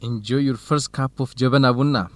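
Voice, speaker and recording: An actor with an Ethiopian accent playing a polite, modest research partner in an educational radio drama, speaking earnestly. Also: male voice, medium pitch, steady tone, brisk, slight background noise, natural voice. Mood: welcoming. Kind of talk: radio drama acting, measured educational dialogue.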